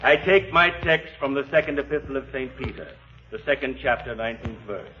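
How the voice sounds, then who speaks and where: An actor playing a solemn, earnest preacher delivering a measured, oratorical sermon in a 1940s radio drama. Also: male voice, mid-range voice, sharp tone, lightly acted. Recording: muffled 1940s radio recording, music behind.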